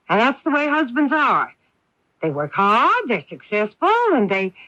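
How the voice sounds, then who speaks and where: A dull AM radio recording of a middle-aged mother in a radio drama. Her voice is fairly high, and she is genteel, wounded and bitter, with halting, emphatic repetitions that show quiet desperation. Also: no echo, full character voice. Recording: band-limited 1980s AM radio broadcast.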